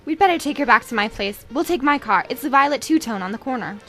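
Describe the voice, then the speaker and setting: An actress playing a bold, flirtatious and pushy client in a noir radio play, coy and insistent. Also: female voice, high-pitched, expressive, quick pace, a bit bright. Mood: earnest. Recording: music under the voice.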